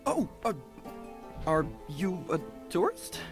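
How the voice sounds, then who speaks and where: Casting: bashful, earnest young puppeteer, stammering, gravelly edge, game dialogue over music. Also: male voice, sits high, sing-song, slow, exaggerated voice. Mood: flustered, shy. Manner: hesitant, stammering. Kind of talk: video game voice acting.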